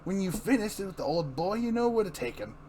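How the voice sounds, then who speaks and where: A man's voice: sits high, big pitch swings, brisk, warm tone, a little hiss or hum, pitched above the performer's own voice. A man voicing a mocking, falsely polite showman villain in an amateur dramatic comic book reading. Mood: gloating.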